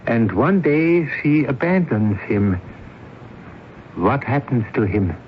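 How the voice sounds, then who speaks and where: An actor playing a coolly authoritative male doctor who questions in a deliberate, pointed way, in a 1970s radio drama. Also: medium pitch, lively intonation. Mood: probing, insistent. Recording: muffled, noisy old radio broadcast.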